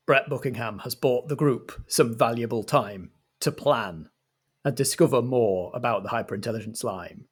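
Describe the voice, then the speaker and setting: Male narrator, medium pitch, dramatic storytelling, improvised comedy game. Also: normal speed, slightly rough.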